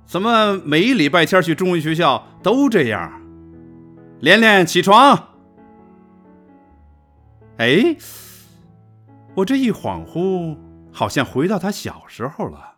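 A man's voice: high voice, very animated, takes it slowly, pauses often, sharp tone, full character voice. A man playing a good-natured, grumbling middle-aged professor father in a radio drama, colloquial and exclamatory. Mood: calling, fond. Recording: music under the voice.

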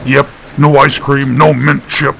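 A man's voice: medium pitch, little pitch movement, a little slow, vocal fry, a bit bright, slight background noise, low-quality recording. A man voicing a huge, simple-minded, childlike man who repeats himself in short broken phrases in an audiobook. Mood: simple, earnest.

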